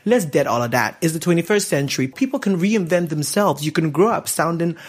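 Young man, from Ghana, podcast host, chatty monologue, laughs often, somewhat high voice. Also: brisk, slightly rough. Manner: chatty, laughing, animated asides. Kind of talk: casual monologue.

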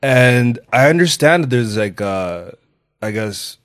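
A man's voice: medium pitch, lively intonation, a bit bright, dry recording, clean studio sound. A Kenyan-born man with an American accent, a guest comedian talking loosely in a casual podcast chat, full of fillers. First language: Swahili. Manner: loose and chatty, full of "like" fillers, quick to laugh.

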